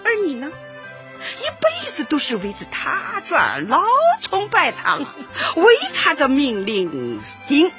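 High, slow, theatrical old granny. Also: female voice, huge pitch swings, a bit bright, heavily acted. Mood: theatrical, lamenting. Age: older. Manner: drawn-out, theatrical sighing and interjections. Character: chatty, knowing old granny neighbour, playful amateur analyst. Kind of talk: light comedy radio drama acting. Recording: dull lo-fi audio, faint music.

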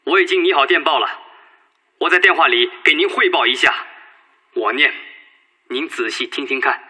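An actor in a Chinese radio drama playing an earnest, respectful Red Army political officer with careful, emphatic enunciation. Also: male voice, on the high side, moderate pace, sharp tone, husky, exaggerated voice. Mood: eager, respectful. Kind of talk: Chinese radio drama acting, formal reading.

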